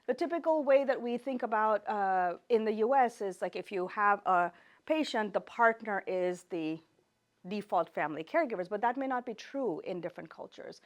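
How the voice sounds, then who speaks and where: A woman's voice: medium pitch, animated, normal speed. A woman speaks as a professor taking a class through slides, and her first language is Gujarati. Her delivery is informal and conversational. She often corrects herself mid-phrase and turns to her listeners with rhetorical questions. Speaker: professor, researcher lecturing. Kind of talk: informal academic lecture with slides.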